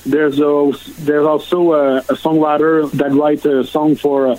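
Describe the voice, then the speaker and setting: Man, Quebec French accent, native French speaker, middle-aged, halting, lots of fillers, guest answering questions. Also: on the high side, level tone, a little mellow, low-quality recording. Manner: halting, many fillers and restarts. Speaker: country singer, guest. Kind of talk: interview answers.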